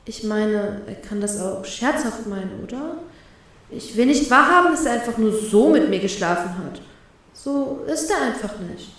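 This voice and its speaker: A woman's voice: fairly high pitch, animated, normal speed, fairly bright tone, slightly rough. A woman reading a first-person fan fiction story aloud, voicing a heartbroken inner monologue.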